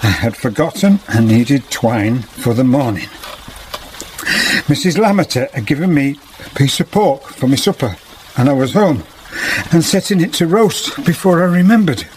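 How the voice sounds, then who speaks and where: An actor playing a distraught, humble village weaver in a period radio drama. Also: male voice, medium pitch, expressive, normal speed, darkish tone, lightly acted, rough recording. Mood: shaken, earnest. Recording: atmospheric radio drama, faint background noise.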